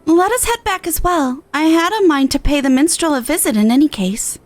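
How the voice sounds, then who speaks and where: A woman's voice: high voice, lively intonation, a little fast, voice pushed up. A woman voicing a caring, composed older sister from a fantasy game, with formal phrasing. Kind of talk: reading game dialogue aloud in character.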